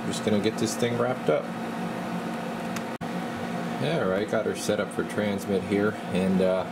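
A man casually narrating a hands-on radio demonstration, talking offhandedly to himself as he works. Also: deep voice. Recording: steady loud equipment and room hum.

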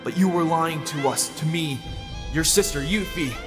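A man's voice: fairly high pitch, moderate pace, close to an everyday voice. A man playing a righteous, wounded young soldier in an anime dub, speaking with accusing intensity. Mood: hurt, bitter. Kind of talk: anime fan dub acting. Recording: long reverb over loud music.

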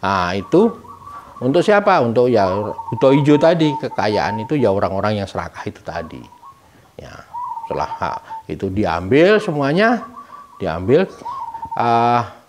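A man's voice: medium pitch, very animated, a little slow, pauses often. A middle-aged man informally explaining a folk tale's meaning in a didactic way, posing questions and answering them himself. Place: room with bare walls, indoors, seated. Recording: clear background noise, birds cooing.

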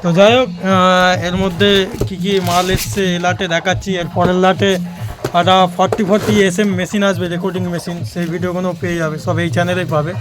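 A man's voice: high-pitched, flat delivery, fairly quick, music in the background. A man with a West Bengal Bengali accent giving casual, repetitive sales patter to viewers in an unboxing vlog. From West Bengal, India. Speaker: audio equipment seller and channel host.

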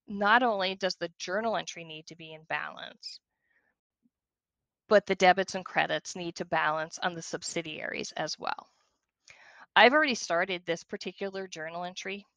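A woman demonstrating software, walking through each step in a conversational tutorial style. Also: fairly low pitch, no echo.

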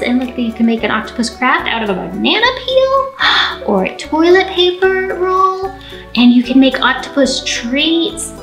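A young American woman, a children's librarian, presenting storytime to small children in an animated, direct way, recorded indoors. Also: fairly high pitch. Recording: roomy, echoing indoor sound.

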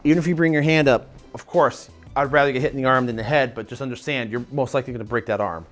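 A man's voice: mid-range voice, fast talker, soft background music. A man in his thirties, a martial arts instructor, explaining self-defense to the camera in an earnest, emphatic way from a small indoor studio. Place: indoor dojo-style room with lamp and screens.

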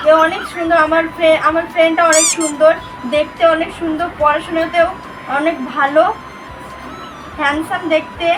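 A woman's voice: very high-pitched, level tone, fairly quick. A woman chatting casually and flirtatiously, in a coaxing, pleading way. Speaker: vlogger making a prank call. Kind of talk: casual flirtatious phone chat. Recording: distant mic with music behind.

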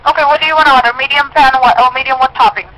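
A woman's voice: high voice, steady tone, rapid-fire, sharp tone, low-quality recording. A woman working as a pizza restaurant phone clerk, firm and impatient with a caller. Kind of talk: customer service phone call.

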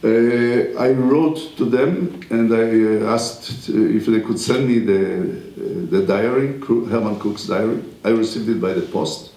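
An older man whose first language is Hebrew lectures from a podium in a wood-panelled room. His low, mellow voice halts often, full of pauses and restarts. The room adds an echo. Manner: halting, many pauses and restarts. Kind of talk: university lecture. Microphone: podium mic.

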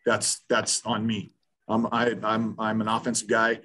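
Blunt, halting coach on a video call. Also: male voice, fairly low pitch, completely flat delivery, fairly bright tone, a little hiss or hum. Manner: blunt, halting, with many restarts and fillers. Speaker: head football coach. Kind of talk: post-game press conference. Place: small room in front of a sponsor backdrop.

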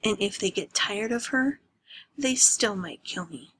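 A woman's voice: medium pitch, expressive, a little slow, fairly bright tone, slightly rough, rough recording. Desperate young man, voiced by a woman, angry, pleading, heated.

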